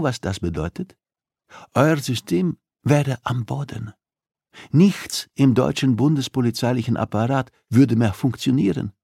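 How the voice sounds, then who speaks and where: A man's voice: mid-range voice, creaky, darkish tone, slightly rough, no room sound, clean studio sound, voice pushed up, exaggerated voice. A man narrating an audiobook, voicing a coolly persuasive, threatening female criminal who interrogates with insistence and condescension.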